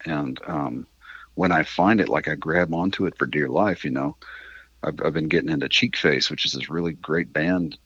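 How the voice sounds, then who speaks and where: A middle-aged man with a husky voice, chatting candidly and casually as an interview guest, rambling a little and swearing mildly. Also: a bass voice, moderate pace, a bit bright, no echo, dull audio. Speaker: interview guest, independent musician.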